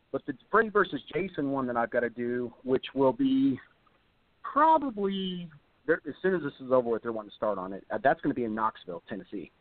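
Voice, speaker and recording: A slightly hoarse young man talks casually about his acting work and rambles with plenty of fillers. His voice comes through a narrow, tinny phone line with a dry sound. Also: medium pitch, dry recording. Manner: rambling, many fillers and restarts. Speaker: actor and voice actor guest. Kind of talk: casual interview over the phone.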